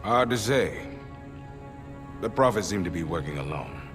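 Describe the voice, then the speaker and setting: An actor playing a seasoned, gruff older sergeant major with blunt military talk in a cinematic game. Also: male voice, low-pitched, expressive, normal speed, over music. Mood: wry.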